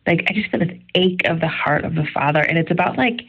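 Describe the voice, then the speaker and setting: Middle-aged woman, low pitch, creaky fry, earnest informal devotional talk, conversational, emphatic. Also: lively intonation, fast talker, lo-fi sound. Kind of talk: informal devotional reflection on scripture.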